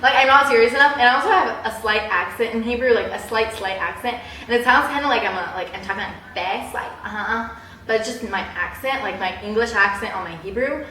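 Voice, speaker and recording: A young woman chatting casually in a personal vlog, with a bubbly, animated, giggly way of exaggerating things. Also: fairly high pitch, fairly quick. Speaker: vlogger. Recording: roomy echo, distant mic, background hiss.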